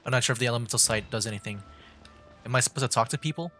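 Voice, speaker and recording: A man's voice: medium pitch, very fast, bright, forceful tone, faint music behind. A man giving casual live game commentary as a streamer, rambling as he thinks aloud.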